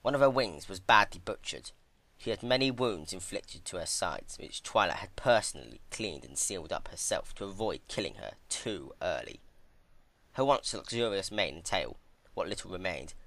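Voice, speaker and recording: A man narrates a piece of dark fan fiction as a dramatic reading. His voice sits fairly low but has a forceful, bright edge, and the pitch moves in lively swings. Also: moderate pace, no room sound.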